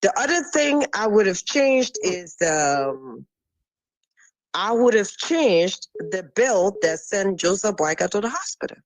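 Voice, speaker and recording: A woman's voice: mid-range voice, very animated, measured pace, dry recording, poor audio. A woman from Liberia calling in to a radio talk show, insistent and repetitive, talking over the host. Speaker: caller. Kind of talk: call-in radio debate.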